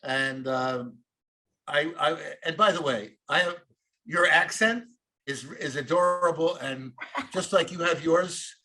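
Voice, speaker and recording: A man's voice: a little high, unhurried, sharp tone, rough recording. A middle-aged man with a New York accent, co-hosting and telling a long rambling story full of fillers. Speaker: co-host. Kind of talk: casual chat.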